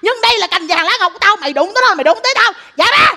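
Shrill, fierce southern Vietnamese mother. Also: expressive, very fast, pushed and bright, full character voice. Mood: furious. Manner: scolding, theatrical, chanting curses. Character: fierce, loud-mouthed mother quick to curse neighbours. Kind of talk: stage comedy sketch.

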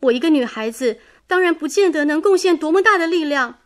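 An actress playing an earnest, idealistic young daughter in a radio drama, speaking with sensitive, pleading emotion. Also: female voice, high-pitched, animated, brisk.

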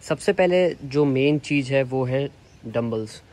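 A man's voice: mid-range voice, measured pace, a little mellow. A young man from India with an Indian accent, casually showing his home gym setup as a fitness vlogger and mixing Hindi and English. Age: young adult. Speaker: fitness YouTuber. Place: sunny rooftop terrace.

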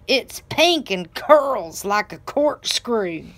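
A conceited storybook pig, as a woman reader puts him on. He sounds smug and self-admiring, his voice lifted higher as he boasts about himself. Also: very animated, extremely slow, fairly bright tone, heavily acted. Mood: boastful. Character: vain, boastful male farm pig in a storybook.

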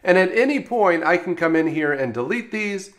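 A man working as a software trainer, narrating an instructional screencast in an explanatory, step-by-step way. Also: high-pitched, lively intonation, moderate pace.

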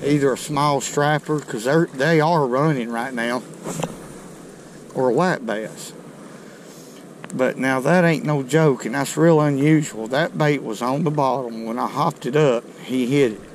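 A middle-aged man, chatting to viewers in a folksy, excited way while fishing from a riverbank, recorded on a chest-mounted camera outdoors. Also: mid-range voice, a little slow, a little hiss or hum, poor audio. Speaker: fishing video host. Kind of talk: casual outdoor commentary. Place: outdoors on a rocky riverbank below a dam. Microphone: chest-mounted action camera.

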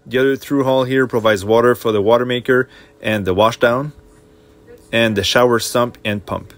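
A man's voice: mid-range voice, steady tone, moderate pace. A man narrating a walkthrough tour of his own boat, listing the equipment in a plain, matter-of-fact way. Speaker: boat owner giving a tour.

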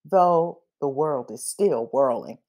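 A woman reading a children's picture book aloud expressively, pausing between phrases and acting out the dialogue. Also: a bass voice, lively intonation, a little mellow. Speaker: storybook reader.

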